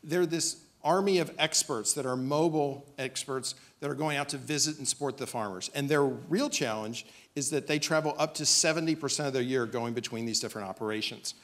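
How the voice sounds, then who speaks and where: Man pitching a startup on stage. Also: a little fast, few pauses, fairly bright tone. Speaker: startup presenter. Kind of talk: business pitch presentation. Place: on stage in a dim auditorium.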